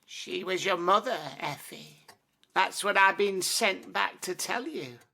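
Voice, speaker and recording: A woman voicing a gentle, injured, ghostly male cat in an audio story, solemn and tender, with a hushed, halting delivery. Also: mid-range voice, vocal fry, heavily acted.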